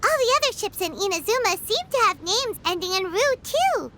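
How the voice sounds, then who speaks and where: Perky childlike game fairy: extremely high, squeaky, bright and cheeky. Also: female voice, sing-song, a little fast, full character voice. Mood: perky, curious. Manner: refers to self in third person. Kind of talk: video game character acting.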